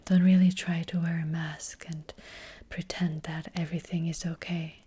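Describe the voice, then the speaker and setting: A woman playing a gentle, hesitant witch fortune teller in an ASMR roleplay, speaking in a breathy, hushed whisper. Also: low-pitched, little pitch movement. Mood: gentle, mysterious. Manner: slow, hushed, hesitant, unhurried. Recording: close-up with faint background noise.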